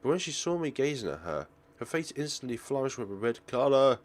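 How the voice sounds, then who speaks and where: A man hosting a let's play, reading visual novel narration aloud in a theatrical, expressive way. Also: medium pitch, a little fast.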